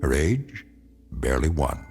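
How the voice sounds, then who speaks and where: A man playing a grave storyteller, narrating an eerie radio drama in a measured, ominous way. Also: rumbling low, sing-song, vocal fry, darkish tone, faint music behind, exaggerated voice. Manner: measured, portentous.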